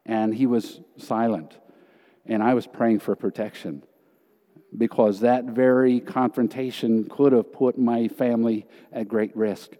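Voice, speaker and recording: A man's voice: medium pitch, fairly even intonation, measured pace, dark tone. Earnest male preacher in a reverberant hall. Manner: earnest, emphatic storytelling. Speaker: missionary teacher. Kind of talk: sermon testimony.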